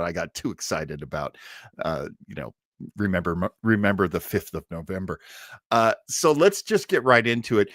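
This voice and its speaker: A man's voice: lowish voice, a bit bright, no room sound, clean studio sound. A man hosting a live stream in a casual, enthusiastic way, rambling, hesitant and easily sidetracked.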